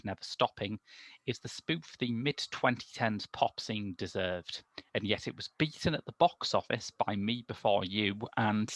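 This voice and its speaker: Low, dry-sounding deadpan male podcast host. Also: no room sound. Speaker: podcast host and film critic. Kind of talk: scripted introduction and panel chat. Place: small room indoors. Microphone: headset.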